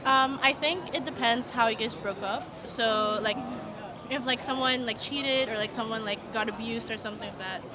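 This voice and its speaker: A woman's voice: fairly high pitch, moderate pace, a crowd in the background. A young woman from Singapore, a university student being interviewed on the street, talking chattily with many "like"s and "um"s. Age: young adult. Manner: chatty, lots of "like" and "um".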